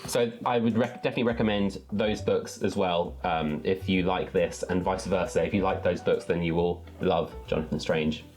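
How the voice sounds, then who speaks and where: Low-voiced man chatting about books. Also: steady tone, moderate pace, few pauses, a little mellow. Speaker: book channel host. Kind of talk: casual book chat to viewers.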